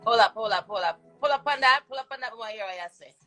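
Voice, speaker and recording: A woman's voice: a little high, racing, pushed and bright. Female live-show host with music behind. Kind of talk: casual live interview chat.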